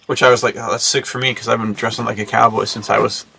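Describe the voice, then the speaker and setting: A man's voice: on the low side, flat delivery, fast, gravelly, bright, forceful tone, a little hiss or hum. An American man co-hosting a podcast in a casual, slangy, rambling way, slipping into imagined remarks as he goes. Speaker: podcast co-host. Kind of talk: casual chat.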